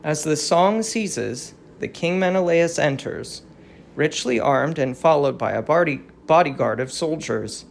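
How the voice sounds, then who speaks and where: Audiobook reader narrating a tragedy, slow and deliberate, bit of fry in the voice. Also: mid-range voice, expressive, a little hiss or hum. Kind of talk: scripted dramatic narration of verse tragedy.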